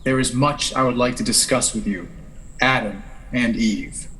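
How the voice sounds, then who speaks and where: A man playing God the Father as a calm, authoritative creator, speaking measured scripture-like lines in a radio play. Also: medium pitch, soft background music, close to an everyday voice. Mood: solemn, benevolent. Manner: measured, solemn, declarative. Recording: noisy home recording, birdsong behind.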